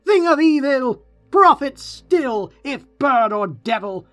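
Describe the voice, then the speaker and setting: A man's voice: very high voice, lively intonation, takes it slowly, bright, forceful tone, faint music behind, voice pushed up, full character voice. A man playing an anguished, haunted, grieving man in a gothic poetry reading, crying out in impassioned, theatrical pleas. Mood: desperate pleading. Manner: impassioned, pleading, theatrical cries.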